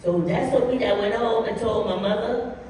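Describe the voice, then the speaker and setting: A woman's voice: on the high side, moderate pace. Older woman telling stories in an echoey hall. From Harlem, New York. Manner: lively, animated storyteller, often laughing. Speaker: guest dancer. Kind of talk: storytelling on a panel. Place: large hall at a dance camp. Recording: echoey hall, distant mic, faint noise.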